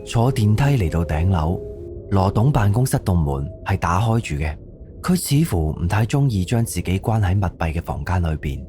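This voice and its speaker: A man's voice: low voice, very animated, moderate pace. A man with a Cantonese accent giving scripted narration for an audio drama. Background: background music.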